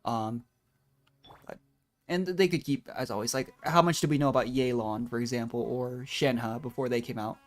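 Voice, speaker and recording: A woman's voice: rumbling low, moderate pace. A woman doing casual, rambling game stream commentary, musing aloud as she plays. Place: indoor livestream with game music behind.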